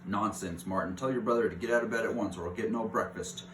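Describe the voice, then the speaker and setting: A man voicing a caring, brisk mother mouse in a storybook reading. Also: lowish voice, a bit bright, lightly acted. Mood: firm. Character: motherly female mouse, cheerful then anxious and firm. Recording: roomy echo, clear background hiss.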